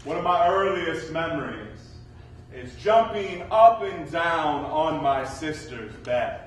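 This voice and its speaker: A man in his thirties tells his life story with wry, measured timing and a slightly high voice. He is heard at a distance in a very echoey room with faint noise. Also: expressive. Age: 30s. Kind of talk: prepared autobiographical storytelling.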